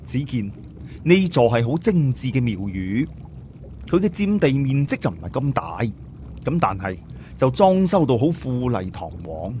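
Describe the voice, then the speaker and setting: A man's voice: medium pitch, very animated, a little slow, a little mellow, a little hiss or hum. A man with a Cantonese accent narrating an audiobook story in a dramatic, scripted storytelling style.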